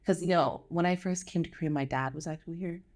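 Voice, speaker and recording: A woman's voice: on the low side, moderate pace, a little mellow. An American woman talking casually as a podcast guest, telling anecdotes in an informal way and quoting people as she goes. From United States. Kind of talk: casual conversation.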